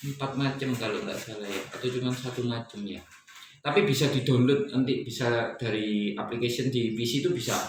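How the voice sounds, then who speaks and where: An Indonesian man gives a casual, step-by-step installer's tutorial in a bright, forward voice. He sounds some way from the microphone in a very echoey room, explaining each step and checking in as he goes. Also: mid-range voice. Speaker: installer demonstrating a product setup. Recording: echoey room, distant mic, faint noise.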